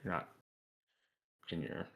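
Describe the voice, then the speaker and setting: Slow, halting game master man. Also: a bit bright. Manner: halting, filler-heavy, muttering to himself. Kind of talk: running a tabletop game session.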